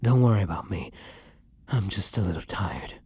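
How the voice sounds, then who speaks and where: A man playing a tender, weary vampire lover in an intimate ASMR romance roleplay, speaking gently and courteously in a breathy voice that often drops into whispers. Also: low voice, normal speed. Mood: weary, gentle. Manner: gentle, courtly, earnest endearments.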